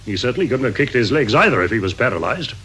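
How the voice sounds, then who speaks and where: Sharp, animated British police inspector. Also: male voice, mid-range voice, quick pace, faint music behind. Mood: satisfied, dry. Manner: probing, dry, authoritative. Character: shrewd, commanding male police inspector, quietly cunning. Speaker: police inspector in a radio crime drama. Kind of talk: radio drama acting. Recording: faintly noisy old radio recording.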